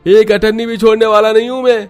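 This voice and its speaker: A man's voice: high-pitched, level tone, fast, smooth, close to an everyday voice. A man voicing a young village man in a Hindi moral-story cartoon. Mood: indignant. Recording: music behind the voice.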